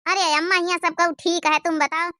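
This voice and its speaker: Woman as a high-pitched, fretful young Awadhi wife. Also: little pitch movement, a little fast, pushed and bright, no room sound, clean studio sound. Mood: concerned. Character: anxious young wife, devoted to her parents. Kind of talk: cartoon comedy dialogue.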